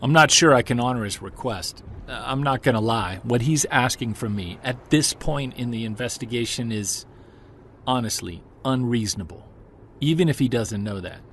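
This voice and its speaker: A man's voice: mid-range voice, normal speed, a bit bright, slight background noise, lightly acted. A man playing a stubborn, determined amateur investigator in an audio drama, speaking in a casual, earnest, conversational way. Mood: troubled, resolute. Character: determined, stubborn male amateur investigator chasing a creature mystery. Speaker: investigator and narrator. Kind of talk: audio drama dialogue and reflective spoken journal.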